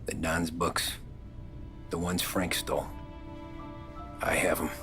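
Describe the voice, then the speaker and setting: An actor playing a weary ex-mobster offering a deal in a video game cutscene, calm and measured. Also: male voice, low voice, over music. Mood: quiet.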